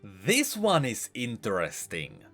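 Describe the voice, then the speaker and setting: A man hosting a video game lore explainer, speaking in an animated, emphatic way. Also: mid-range voice, slow. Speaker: host of a game lore channel. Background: music.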